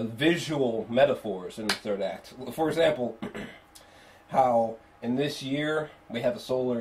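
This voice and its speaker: A man giving a casual spoken movie review, swearing freely and repeating phrases for emphasis in an animated, emphatic way. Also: mid-range voice, a little hiss or hum. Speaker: film reviewer.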